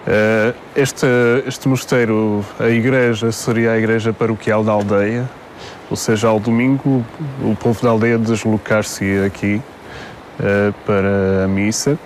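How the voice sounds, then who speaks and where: A man, explaining the history of an old monastery outdoors, measured and full of hesitations. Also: lowish voice, little pitch movement. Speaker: local guide. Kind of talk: explaining local history on camera. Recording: faint outdoor noise.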